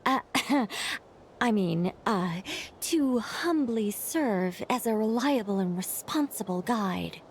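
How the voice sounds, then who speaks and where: Serene, teasing female mage, once an angel, high register, poised but animated game delivery. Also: takes it slowly, a little hiss or hum. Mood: gracious, grand. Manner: polite, poised, gently teasing. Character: gentle former angel turned mage, serene, playfully grand. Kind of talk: video game cutscene dialogue. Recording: music behind the voice.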